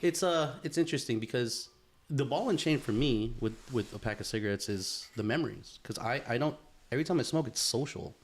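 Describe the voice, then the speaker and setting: A man's voice: mid-range voice, a little fast. A young man co-hosting a podcast, chatting casually and telling a story with lots of fillers and laughs. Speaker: podcast co-host. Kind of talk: casual chat.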